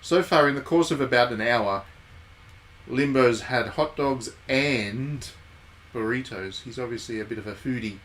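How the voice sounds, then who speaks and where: A man's voice: medium pitch, a little slow, fairly bright tone. A man giving casual, wry live commentary as he streams a visual novel. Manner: wry, jokey asides. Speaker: game streamer.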